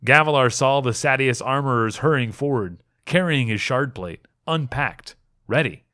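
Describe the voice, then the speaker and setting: A man reading a fantasy story aloud as dramatic audiobook narration, expressive and with deliberate pauses. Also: medium pitch, no room sound, clean studio sound. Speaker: amateur reader, a fan.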